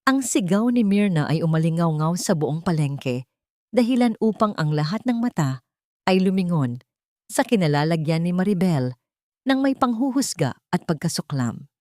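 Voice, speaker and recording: A woman's voice: fairly low pitch, sing-song, normal speed, darkish tone, no room sound, clean studio sound. A woman storyteller reading a scripted dramatic story as narration.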